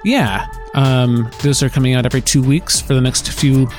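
A man's voice: mid-range voice, steady tone, moderate pace, darkish tone, soft background music. A man co-hosting a podcast, chatting casually in loose, informal phrasing. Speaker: podcast co-host. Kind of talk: casual chat.

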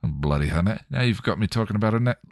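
A crude, cocky male vampire, sneering and slangy, with a very deep voice, as a man reads him out. The sound is close and dry, with no room around it. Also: expressive, fast talker, a little mellow, no room sound. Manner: cocky, slangy, rough-edged. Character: coarse sneering male vampire with a demon inside.

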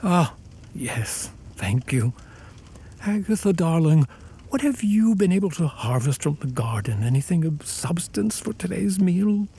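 A man playing a kindly, grateful, blind elderly father in a radio play, with unhurried, old-fashioned phrasing. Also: sits high, big pitch swings, creaky, warm tone, breathy edge, exaggerated voice. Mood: fond, curious.

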